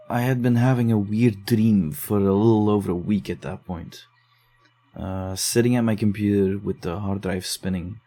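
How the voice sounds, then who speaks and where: A man voicing a rattled young man in a psychological horror audio drama, speaking in a lowish, mellow voice that turns defensive and uneasy as he recounts what happened. He talks quickly when he gets nervous. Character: shaken young man investigating case files. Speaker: narrator and lead character.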